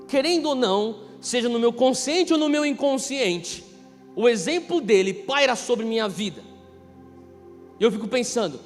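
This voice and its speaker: A Brazilian man in his thirties preaching a sermon, emphatic, repeating key phrases and swinging between hushed and loud delivery. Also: very high voice. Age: 30s. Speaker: pastor preaching. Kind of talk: impassioned sermon. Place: large reverberant church hall. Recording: reverberant hall PA, music underneath. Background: music.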